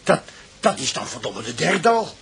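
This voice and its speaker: A man with a Netherlands Dutch accent playing a sleepy, shaken petrol pump owner in a radio drama, halting and repeating his words. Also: fairly high pitch, very animated, creaky, fairly bright tone, slightly rough, full character voice. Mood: shaken, upset. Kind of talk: naturalistic radio drama acting.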